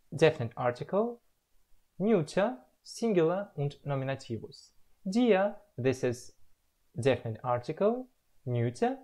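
A young man from Russia, explaining the words he has learned slowly and carefully in a self-recorded lesson at home on a webcam. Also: on the high side, a little mellow. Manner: slow, careful, drawn-out word-by-word explanation. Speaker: language learner presenting his progress.

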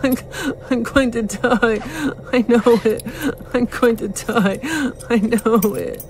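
A woman acting as a terrified, despairing captive in an audio drama, speaking in halting panic. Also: a little high, fairly even intonation, slight background noise, rough recording.

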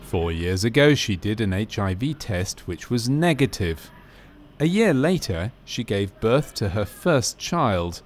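Low-voiced man narrating over background noise. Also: very animated, measured pace. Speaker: narrator of a medical training film. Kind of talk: scripted narration.

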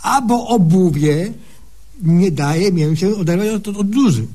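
Very high-voiced cocky bumpkin patient. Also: male voice, mellow. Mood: sheepish. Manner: folksy, ungrammatical, drawn-out exclamations. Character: cocky, simple-minded male patient, boastful bumpkin. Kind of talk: humorous radio sketch acting.

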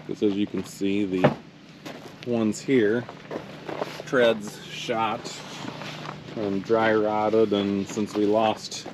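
A man in his thirties narrating a casual work vlog about hauling campers, relaxed and unhurried with lots of fillers. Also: on the low side. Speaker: towing business owner. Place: truck cab and outdoor work yard. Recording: noisy with vehicle and engine hum.

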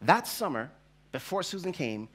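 A Jamaican-born pastor in his forties, speaking with a faint Jamaican accent and a high, ringing voice. He tells his story from a church stage into a headset mic, animated and playful as he imitates the voices in it. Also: normal speed, husky. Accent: slight Jamaican. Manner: animated, acts out quoted voices, laughs as he tells. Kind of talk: storytelling sermon. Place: large church hall stage with audience.

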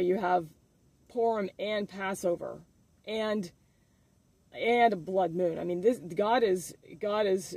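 A man speaking casually as the host of an informal religious video update, halting as he thinks aloud. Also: high-pitched, creaky, a little mellow, no echo.

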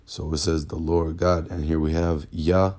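A very deep-voiced man, hoarse and raspy from a cold, gives a casual bible lesson. He talks haltingly, stalls on repeated words and fills gaps with fillers while reading verses aloud. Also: level tone, a little mellow. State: hoarse from illness. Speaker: religious teacher. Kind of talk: informal bible lesson over screen recording. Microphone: phone screen recording.

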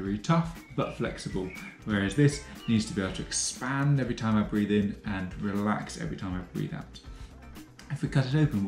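A young man with a lowish voice explains biology patiently and clearly, as a teacher would. He is recorded in a home kitchen with a roomy echo. Soft music plays faintly underneath. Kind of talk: educational explainer.

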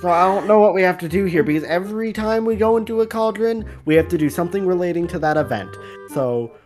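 A woman's voice: lowish voice, expressive, fast talker, darkish tone, soft background music, natural voice. A woman voicing a level-headed, sceptical young male wizard student in a game roleplay, with a dry, matter-of-fact manner. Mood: dry, reasoning. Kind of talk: improvised video game roleplay.

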